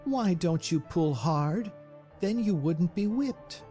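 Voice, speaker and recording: A dainty, fretful young woman of a storybook, as a male narrator puts her on. The pitch is lifted high and swings freely. She exclaims and pleads with melodramatic feeling. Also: lively intonation, moderate pace, darkish tone, soft background music, close to an everyday voice. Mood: sympathetic, tearful.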